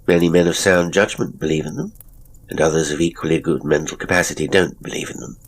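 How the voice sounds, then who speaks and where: A man voicing a measured, open-minded young Victorian gentleman in an audiobook, speaking formally and deliberately. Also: extremely low pitch, fairly even intonation, slight background noise. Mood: measured, reasoning. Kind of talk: audiobook character acting.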